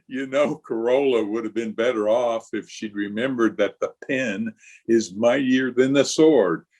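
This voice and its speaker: A man playing a wry, theatrical announcer hosting an old-time radio mystery, with a husky voice. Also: mid-range voice, slow, long pauses, a little mellow. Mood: gleeful, wry. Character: wry, ghoulish male radio mystery host. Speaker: announcer and host.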